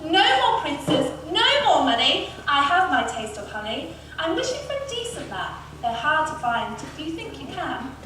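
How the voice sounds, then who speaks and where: Woman as brash, high-pitched young Cinderella. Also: sing-song, normal speed, hardly any pauses, bright, forceful tone, full character voice. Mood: dismayed, wary. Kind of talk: comic rhyming storytelling. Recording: distant echoey hall, faint noise.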